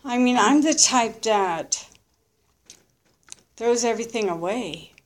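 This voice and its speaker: A woman in her early fifties with a slightly high voice speaks very slowly. She shares a candid, unscripted personal testimony. Her delivery is reflective and halting, built from short confessional phrases. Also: animated. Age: early 50s. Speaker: recovering alcoholic sharing her story.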